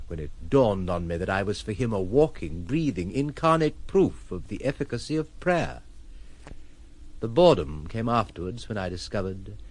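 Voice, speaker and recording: An actor in an audio drama playing a detached, world-weary gentleman who speaks in eloquent, formal, ironic phrases. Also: medium pitch. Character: wry, detached gentleman recluse, playfully philosophical and world-weary.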